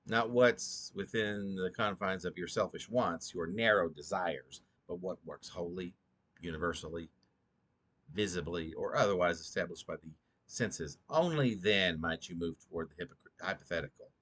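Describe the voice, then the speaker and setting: A man with a low voice reads poetry aloud as its author. He sounds sleepy and goes deliberately, often halting, stumbling over a word or repeating a phrase. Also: bright, forceful tone. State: drowsy, short on sleep. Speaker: poet reading own work. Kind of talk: slow, halting poetry reading.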